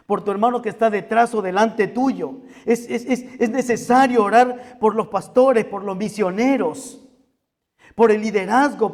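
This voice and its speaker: Peruvian guy preaching in church, pretty high voice, repeats stuff for emphasis, big reverby hall. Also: darkish tone. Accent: Peruvian Spanish. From Peru. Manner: emphatic repetition and rhetorical questions to the congregation. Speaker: pastor. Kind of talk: sermon.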